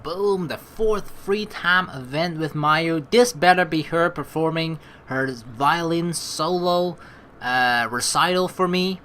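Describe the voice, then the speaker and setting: A woman's voice: low-pitched, takes it slowly, a bit bright, slight background noise. A woman hosting a casual let's play, playfully reading game narration and rambling through her own commentary. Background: game background music.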